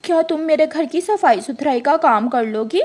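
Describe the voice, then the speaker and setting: A mean, self-important cartoon crow mistress, put on by a woman, with a high voice. She is imperious and bossy, talking down in a scolding way. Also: lively intonation, moderate pace, darkish tone. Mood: brisk, self-important.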